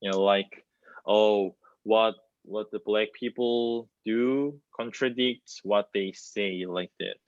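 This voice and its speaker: A young man with a Korean accent, a native Korean speaker, speaks in a panel discussion. His voice is fairly low and his delivery slow and hesitant, as he searches for words. The video-call sound is a little muffled. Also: fairly even intonation. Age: young adult. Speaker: student playwright, panelist. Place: plain room, indoors. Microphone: video call, wireless earbud worn. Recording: roomy, dull video-call audio.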